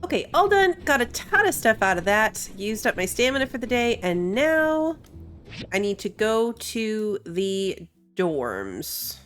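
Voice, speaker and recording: A woman's voice: mid-range voice, big pitch swings, measured pace, a bit bright. A woman hosting a gaming video with casual, chatty commentary, thinking aloud as she plays. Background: faint game music.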